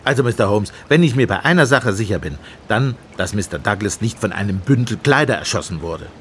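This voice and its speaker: An actor playing a sceptical, sarcastic police inspector with a gruff, commanding manner in a German radio play. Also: male voice, on the low side, animated, fairly quick, fairly bright tone, a little hiss or hum. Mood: sceptical, irritated.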